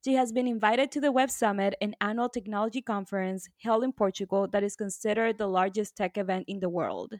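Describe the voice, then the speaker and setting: A woman's voice: medium pitch, steady tone, a little fast, hardly any pauses, a little mellow. Friendly woman podcast co-host. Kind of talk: interviewing guest, partly scripted intro.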